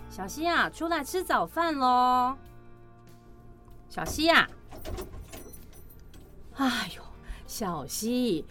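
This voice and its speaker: A woman's voice: high-pitched, exaggerated voice. A woman playing a schoolgirl's exasperated mother in an audio drama, nagging and scolding. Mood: calling, nagging.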